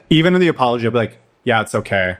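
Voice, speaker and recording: A man co-hosting a podcast in casual chat, telling stories with sassy, theatrical flair. His voice sits at a middling pitch, and he throws in exaggerated voices when quoting others. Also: big pitch swings, a little fast, a bit bright. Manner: sassy, dramatic retelling with mock voices. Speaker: podcast co-host.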